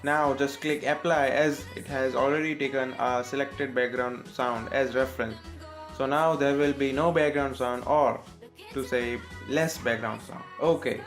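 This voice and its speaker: A man with an Indian accent narrating a step-by-step software tutorial as its host, in a scripted style. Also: mid-range voice.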